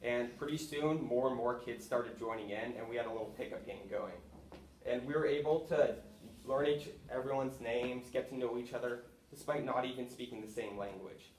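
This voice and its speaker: A man's voice: mid-range voice, normal speed, darkish tone. A young man, giving an earnest prepared speech to classmates in a school hall, with small restarts now and then. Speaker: student speaker. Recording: echoey room with faint noise.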